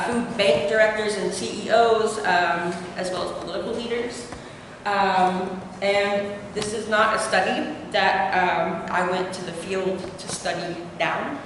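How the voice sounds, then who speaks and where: Young appalachian woman talking about her research, lots of ums, casual, echoey hall picked up from far off with hiss. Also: mid-range voice. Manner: informal, many filler hesitations. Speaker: doctoral student presenting research. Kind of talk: academic presentation. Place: lecture room. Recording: echoey hall taken from afar with noise.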